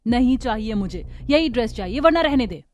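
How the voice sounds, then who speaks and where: A woman's voice: fairly high pitch, expressive, fairly quick, a little hiss or hum, natural voice. A woman voicing a jealous, sulky young elder sister in a Hindi cartoon story, petulant, demanding and bitter.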